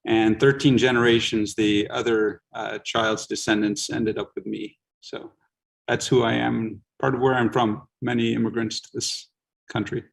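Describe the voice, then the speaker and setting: A man who is a scientist introducing himself in a relaxed way, with many ums and uhs. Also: medium pitch, normal speed, gravelly, low-quality recording. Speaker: scientist guest. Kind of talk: casual self-introduction.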